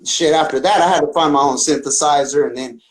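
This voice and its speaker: Higher-pitched man in a casual interview. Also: fast. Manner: slangy, profane, often says "man" and "bro". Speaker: podcast guest, talkbox musician.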